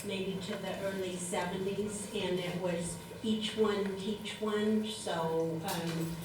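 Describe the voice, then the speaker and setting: Slow-speaking older woman, far off and echoey. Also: medium pitch, little pitch movement. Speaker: audience member asking a question. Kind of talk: hesitant informal question. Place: community room at a public panel event. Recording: very echoey room, distant mic, clear noise.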